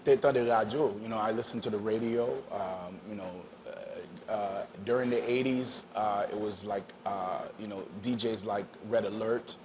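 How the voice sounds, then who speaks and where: A Haitian-born man in his fifties speaks with a lowish voice, sharing his story in an easygoing, rambling way. He fills pauses with you-knows and ums and drops into French here and there. The recording has noticeable background noise. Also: fairly even intonation. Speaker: DJ guest. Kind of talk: casual interview chat.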